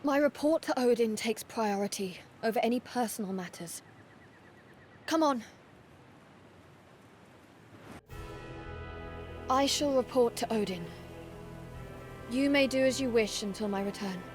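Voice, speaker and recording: A woman playing a proud, stern Valkyrie warrior in a fantasy video game, speaking in formal, measured phrases. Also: fairly high pitch, lightly acted. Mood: curt, resolute. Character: solemn, proud young female Valkyrie warrior, dutiful and stern. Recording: loud orchestral music behind voice.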